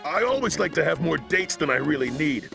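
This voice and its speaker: An actor playing a strained, grumbling but polite fellow in a cartoon game. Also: male voice, fairly high pitch, sing-song, brisk, gravelly, music in the background, exaggerated voice. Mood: strained, indignant.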